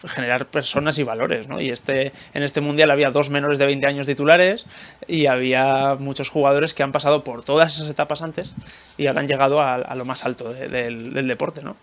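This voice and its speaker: A man's voice: mid-range voice, steady tone, fairly quick. A young man with an accent from Spain in a casual panel discussion, speaking tentatively with frequent tag questions. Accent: Spanish from Spain. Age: young adult.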